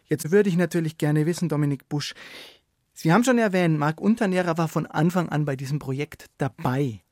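A man's voice: fairly high pitch, brisk, darkish tone, no room sound, clean studio sound. A man hosting a cultural radio interview, introducing and asking questions.

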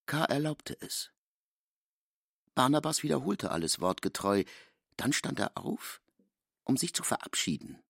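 An actor as a storyteller in a literary radio drama, husky and gravelly, reading expressively in a formal manner. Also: male voice, medium pitch, very animated, measured pace, pauses often, a bit bright, no room sound, full character voice. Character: expressive male storyteller of a classic novel. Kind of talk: scripted literary narration with character speech. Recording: dry studio with faint music.

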